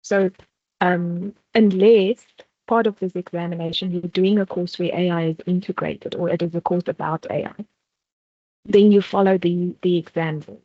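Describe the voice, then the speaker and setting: A woman's voice: fairly low pitch, fairly even intonation, normal speed, dry recording. A South African woman from the Free State leading a university exam-preparation workshop, explaining step by step and often restarting phrases with "um". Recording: dull, dry lo-fi sound.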